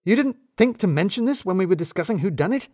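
A man performs an obsessive male office worker playing detective in an audio story. He is fretful and emphatic, with animated pitch. The sound is close and dry. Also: quick pace, dry recording. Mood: agitated.

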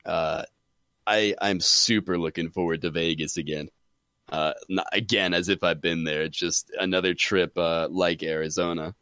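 Excitable low-voiced man. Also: normal speed, smooth, no room sound, studio quality. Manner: excitable, says "dude" a lot. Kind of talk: casual gaming chat.

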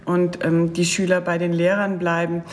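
Low-voiced woman interviewing. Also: little pitch movement, normal speed, slight background noise. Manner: direct, teasing questions. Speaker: podcast host.

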